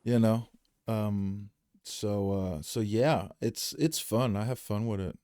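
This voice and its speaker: A man's voice: on the low side, slow pace, mellow, no room sound, clean studio sound. A man hosting a podcast, chatting reflectively and casually with frequent fillers. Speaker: podcast host. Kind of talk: casual conversational podcast chat.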